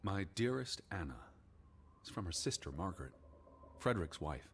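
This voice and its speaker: An actor playing a weary, hesitant American naturalist of the 1920s in a video game, speaking in a halting, subdued way. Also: male voice, very animated, slight background noise. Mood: hesitant, somber. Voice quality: low, husky.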